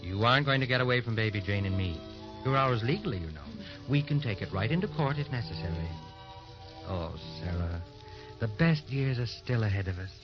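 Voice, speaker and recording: An actor playing an affectionate, teasing, confident husband in an old radio drama, wry and mock-formal. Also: male voice, on the low side, animated, a little fast, lightly acted. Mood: loving, reassuring. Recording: dull old radio recording, music behind.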